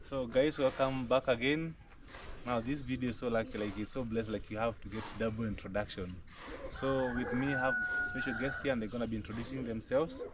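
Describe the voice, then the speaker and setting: A man's voice: mid-range voice, fairly even intonation, a little fast. A young Kenyan man hosting a casual village vlog, chatty and upbeat, repeating his phrases. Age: young adult. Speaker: vlog host. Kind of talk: casual outdoor vlog chat. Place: village compound outdoors. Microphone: action camera, no mic. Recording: noisy outdoor camera audio.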